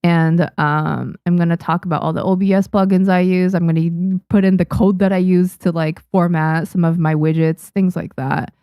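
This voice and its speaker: A woman's voice: fairly low pitch, level tone, normal speed, hardly any pauses, warm tone, smooth, no room sound, studio quality. A young woman, a native Japanese speaker, chatting casually on a live stream, reading and answering viewers' questions with many restarts and fillers. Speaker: streamer and manga artist.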